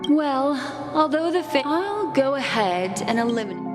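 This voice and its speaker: An actress playing a poised, mysterious woman guide in a video game, speaking in a measured, intimate and softly teasing way. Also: sits high, big pitch swings. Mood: calm, storytelling. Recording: long reverb, music behind. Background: game music.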